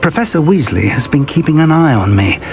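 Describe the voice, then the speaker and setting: An actor playing an earnest middle-aged wizard professor and mentor in a video game, speaking in a deliberate, articulate, scholarly way. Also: male voice, a little high, big pitch swings. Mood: musing, confiding. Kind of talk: dramatic video game voice acting. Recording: music playing behind the voice. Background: game music.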